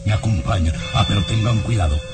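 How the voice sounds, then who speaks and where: A man's voice: on the low side, quick pace, close to an everyday voice. An actor playing a middle-aged baron, a protective and resolute father, in an emphatic old radio serial style. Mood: urgent resolve. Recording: loud dramatic music over voice.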